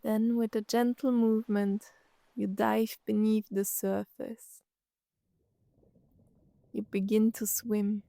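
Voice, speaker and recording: A woman narrating a scripted guided meditation, gently soothing, speaking in short phrases with long pauses. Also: medium pitch, level tone, gravelly, dry recording.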